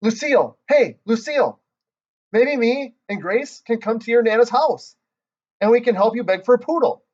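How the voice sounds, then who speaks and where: A man plays a bossy, bubbly little girl, high and lively. Every line bounces with kid excitement, on a dull lo-fi storybook recording. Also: moderate pace. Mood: excited. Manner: bubbly, cheeky, ungrammatical kid talk.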